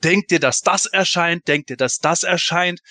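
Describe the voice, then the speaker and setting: Bright, forceful male podcast host. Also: a little high, moderate pace, no echo, studio quality. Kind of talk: casual podcast moderation.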